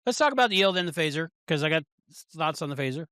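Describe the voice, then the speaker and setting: A man's voice: a little high, expressive, fast talker, pushed and bright, smooth, dry recording, studio quality. A man co-hosting a podcast, talking casually about pop culture in a review chat. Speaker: podcast co-host.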